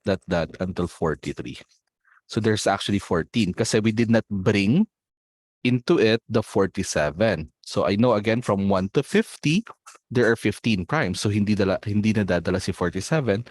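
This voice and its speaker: A man's voice: fairly low pitch, darkish tone, no room sound. A man with a Filipino accent teaching a math olympiad class online, explaining step by step and often checking whether students follow. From Philippines.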